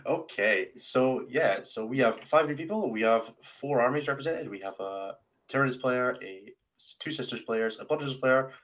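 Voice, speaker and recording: A man's voice: mid-range voice, moderate pace, a bit bright. A man casually hosting a round table, with a wry, teasing, self-deprecating way of talking. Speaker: podcast host and team captain.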